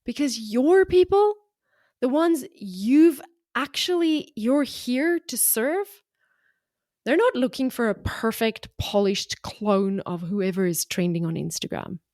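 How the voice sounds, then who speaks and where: A woman's voice: a little high, animated, takes it slowly, darkish tone, dry recording, studio quality. A middle-aged woman from South Africa with a mixed South African and Australian accent, hosting a motivational solo podcast for business owners in a frank, encouraging way. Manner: frank, encouraging, punchy short phrases. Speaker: podcast host and business coach.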